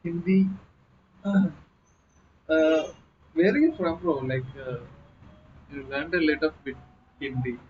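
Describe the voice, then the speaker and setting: A man's voice: fairly high pitch, slow pace, pauses often. A young man with a Malayalam accent, chatting casually with viewers on a live stream from a small room at home. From Kerala, India. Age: young adult. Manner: chatty, laughing, reading chat aloud. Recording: slightly dull audio with faint noise.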